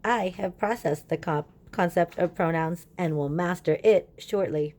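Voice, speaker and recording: Lady doing a friendly green alien from a picture book, low mellow voice, formal stilted talk. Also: measured pace. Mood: earnest. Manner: stilted speech naming himself in third person.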